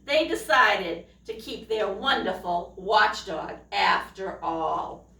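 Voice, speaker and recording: A woman reading a children's picture book aloud expressively, pausing to put questions to young listeners. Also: mid-range voice, creaky, bright, forceful tone. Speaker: storytime reader, teacher. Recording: echoey room, distant microphone.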